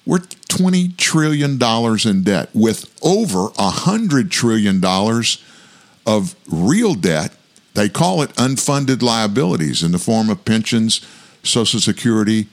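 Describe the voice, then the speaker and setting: Older man with a big, very low voice. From South Louisiana, US. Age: 60s. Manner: measured, reflective delivery. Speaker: commentary show host. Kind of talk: scripted political commentary monologue.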